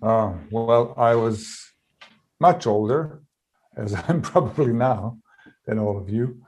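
A man in his fifties with a Bosnian accent, a native Bosnian speaker telling a reflective story as a panelist, with frequent pauses and restarts. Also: mid-range voice, darkish tone. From Bosnia and Herzegovina. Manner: thoughtful, with many pauses and restarts.

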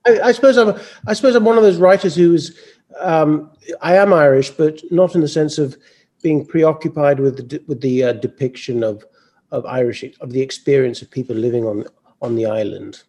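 A man who is a novelist speaking as a panel guest, halting and reflective, with stammered repeats. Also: on the high side, mellow. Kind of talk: panel discussion.

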